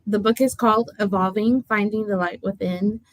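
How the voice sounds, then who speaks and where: A woman's voice: mid-range voice, steady tone, normal speed, no room sound, poor audio. A woman author from the Bahamas, introducing herself on a talk show in a friendly, composed way. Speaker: author guest. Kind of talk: interview self-introduction.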